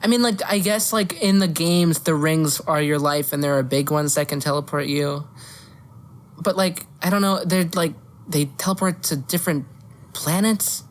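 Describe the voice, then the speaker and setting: A woman's voice: sits low, fairly even intonation, moderate pace. A woman co-hosting a podcast, chatting casually about pop culture in an opinionated, argumentative way with casual swearing. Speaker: podcast co-host. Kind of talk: casual chat about movies and games.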